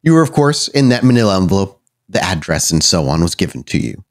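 A man's voice: sits low, very animated, fast talker. A man narrating a tabletop roleplaying game as the game master. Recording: music behind the voice.

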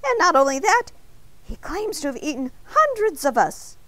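Woman voicing an excitable, high-pitched little donut. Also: a little slow, dry recording, full character voice. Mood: frantic. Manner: dramatic, exclaiming, extra polite when pleading. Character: excitable, indignant little talking donut, male, polite but dramatic. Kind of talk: children's storybook character voice.

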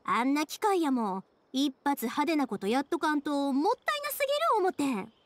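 A woman playing a cheerful, spirited young anime game heroine, speaking expressive, heartfelt Japanese with a Kansai accent. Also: high voice, normal speed. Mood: warm, grateful.

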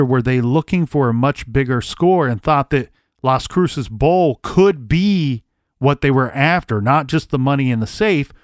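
A man's voice: fairly high pitch, no echo. Man reading and speculating in a dry room. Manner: reads case records aloud, then speculates. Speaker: podcast host. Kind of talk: casual true crime discussion.

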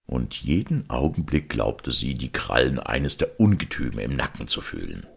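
A man narrating a fairy tale as a storyteller, theatrical and expressive. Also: extremely low pitch, moderate pace, vocal fry, husky. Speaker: storyteller, audiobook reader.

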